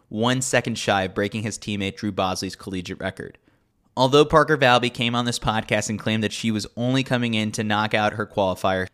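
Low-voiced man reading sports news. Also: a bit bright. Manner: wry, tongue-in-cheek. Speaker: podcast host reading a newsletter. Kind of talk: scripted narration, sports newsletter read aloud.

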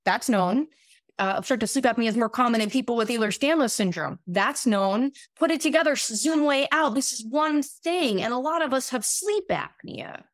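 A woman in her late thirties with a raspy voice, explaining things conversationally as an expert guest, often halting and restarting her phrases. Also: a little high, lively intonation, no room sound, clean studio sound. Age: late 30s. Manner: halting, self-interrupting, many repeated words. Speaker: podcast guest, medical doctor.

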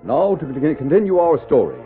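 A man's voice: fairly high pitch, sing-song, brisk. A man narrating an old-time radio Western as announcer, formal and theatrical in a scripted dramatic style. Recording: tinny vintage radio with music.

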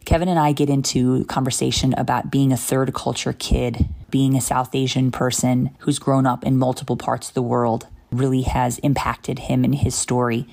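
A woman hosting a podcast who listens attentively with soft backchannels and reads a scripted episode introduction. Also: extremely low pitch, flat delivery, moderate pace, creaky, hardly any pauses. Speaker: podcast host.

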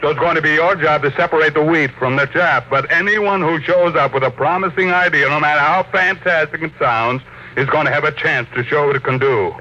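An actor with an American accent playing a domineering, curt industrial tycoon in an old-time radio drama, emphatic and commanding. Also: male voice, on the high side, brisk, pushed and bright. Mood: brusque, impatient. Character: domineering, obsessed male industrial tycoon, brusque and imperious. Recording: noisy old radio recording.

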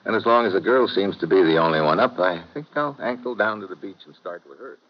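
An actor playing a laconic, wry American secret agent in an old-time radio drama. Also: male voice, on the low side, lively intonation, quick pace. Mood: cool, businesslike. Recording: muffled, noisy old radio recording.

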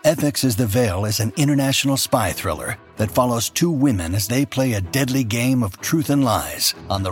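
A man reading a scripted promotional ad in a smooth announcer delivery. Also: lowish voice. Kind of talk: scripted ad read.

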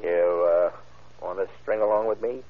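An actor playing a cocky, hard-boiled American crook and self-styled general, talking defiant slang in an old-time radio crime drama. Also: male voice, low-pitched, fairly even intonation, moderate pace, natural voice. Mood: brash, defiant. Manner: slangy, defiant tough-guy talk. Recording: muffled, tinny old radio recording.

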